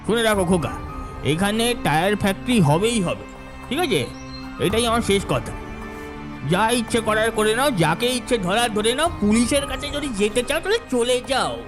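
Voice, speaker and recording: Cartoon landlord bully, male actor: high-pitched, taunting, boastful, music underneath. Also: animated, moderate pace. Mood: mocking, boastful. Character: arrogant bullying male landowner with political connections. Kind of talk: cartoon story dialogue.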